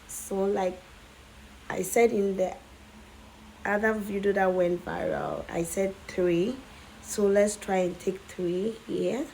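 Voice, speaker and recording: A woman with a hoarse voice from days of coughing, walking viewers through a headwrap tutorial in a casual, chatty way and often checking in with "okay?". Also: mid-range voice, darkish tone, slight background noise, poor audio. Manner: chatty, keeps adding "okay?". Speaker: tutorial host.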